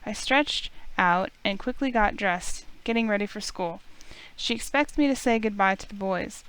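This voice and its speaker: A woman reading a visual novel aloud as expressive first-person narration. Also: mid-range voice, moderate pace, clean tone, rough recording.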